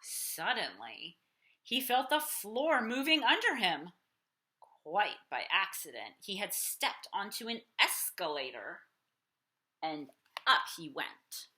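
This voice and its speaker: A woman teacher reading a picture book aloud to children, theatrical and expressive, explaining things simply. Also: medium pitch, slow pace.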